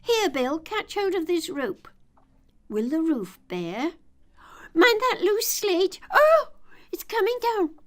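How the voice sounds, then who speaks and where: A woman's voice: very high-pitched, very animated, takes it slowly, pitched above the performer's own voice, full character voice. A woman voicing bustling, bossy working animals in a storybook, calling out colloquial orders. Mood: urgent, bustling.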